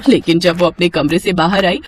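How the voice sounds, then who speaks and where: A woman's voice: fairly low pitch, fairly even intonation, brisk, noisy background. A woman narrator reading a scripted moral story.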